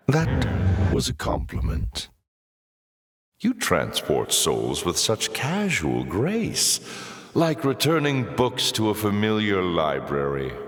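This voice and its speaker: A low, unhurried demon lord for a video game. He is pompous and sly, savoring each taunt with silky sarcasm. The voice rings out in a long, echoing reverb. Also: a bit bright, exaggerated voice. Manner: silky, sardonic, pompous, drawn-out teasing. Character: suave, mocking demon lord, taunting and sardonic. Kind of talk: theatrical video game villain voice acting.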